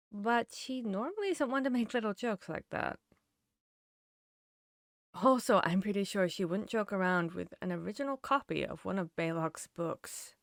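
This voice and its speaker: A woman's voice: medium pitch, no echo, clean studio sound. A woman playing a protective, caring vampire hunter in an intimate fantasy audio roleplay, speaking directly to the listener. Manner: intimate, addressing the listener directly, shifting between hushed and urgent.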